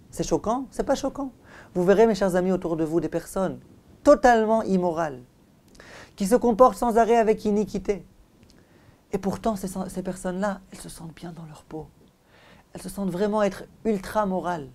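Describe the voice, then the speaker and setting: Mellow-voiced rabbi, a man teaching emphatically. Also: high voice. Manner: emphatic, repeats phrases for effect; addresses listeners directly with rhetorical questions. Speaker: rabbi giving a Torah lesson. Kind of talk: religious lecture.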